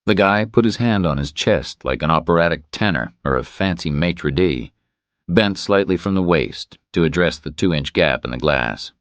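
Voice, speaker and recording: A man narrates a thriller audiobook in an extremely low voice. His intonation moves in a lively way, and the sound is dry and close, with no room around it. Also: normal speed, no room sound.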